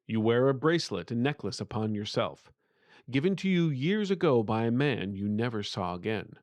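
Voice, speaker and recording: A man's voice: mid-range voice, very animated, normal speed, a little mellow, no room sound, lightly acted. Trapped spirit of an uncle , played by a male narrator, earnest and imploring, fantasy audiobook, close dry sound. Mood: calm, persuasive. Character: earnest, pleading uncle's spirit trapped behind a sealed portal.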